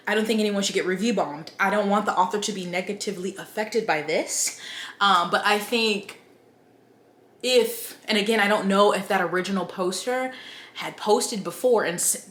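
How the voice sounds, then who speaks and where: A woman's voice: medium pitch, fairly bright tone. Female book commentator, mid-range voice, casual and chatty with incredulous asides; noticeably echoey recording. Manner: wry, incredulous, conversational asides.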